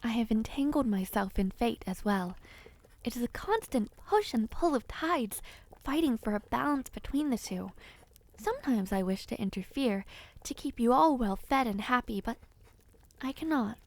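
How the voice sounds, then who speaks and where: Woman playing a gentle, high-voiced kindly witch. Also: expressive, normal speed, low-quality recording. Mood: wistful. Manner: gentle, soothing, old-fashioned formal phrasing, easily distracted. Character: kind, dreamy peculiar witch, otherworldly and caring. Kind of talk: audio roleplay addressed to the listener.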